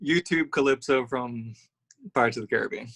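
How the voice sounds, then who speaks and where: A young man from Louisiana, a musician, answering interview questions in a relaxed, affable, rambling way on a live stream. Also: medium pitch, fairly quick. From Baton Rouge, Louisiana. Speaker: musician guest.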